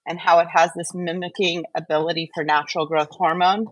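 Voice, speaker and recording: A woman's voice: lowish voice, level tone, brisk, no echo. A woman hosting a show in a casual, conversational chat style. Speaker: show host.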